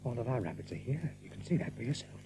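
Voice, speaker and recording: An actor voicing a steady, plain-spoken rabbit from a wandering band in an audio drama. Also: male voice, mid-range voice, quick pace, a little hiss or hum. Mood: firm, reassuring. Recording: music behind the voice.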